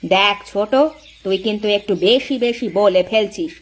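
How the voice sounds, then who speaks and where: A woman plays the patient elder wife in a village folk-tale cartoon. She speaks mildly, protesting in a gentle way. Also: mid-range voice, steady tone, moderate pace, natural voice. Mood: hurt, defensive.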